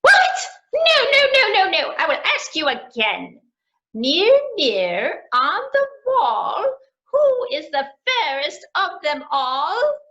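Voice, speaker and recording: A vain evil queen, acted by a woman, squawks in a high, shrill voice. She is petulant and jealous, and her lines swing wildly up and down. The recording sounds dull and lo-fi. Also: wildly swooping pitch, takes it slowly, pushed and bright, full character voice. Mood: furious.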